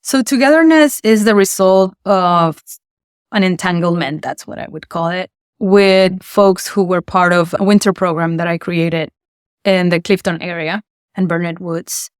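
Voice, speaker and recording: A woman's voice: lowish voice, a little slow, smooth, no echo, studio quality. A woman from Argentina, an artist explaining her work thoughtfully in an interview. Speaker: installation artist.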